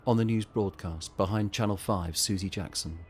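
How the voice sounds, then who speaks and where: A man narrating a horror audio drama in a grim, measured, suspenseful manner. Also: on the low side, a little mellow, faint music behind, close to an everyday voice. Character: grim male narrator of a zombie-outbreak story. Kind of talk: scripted dramatic narration, present tense.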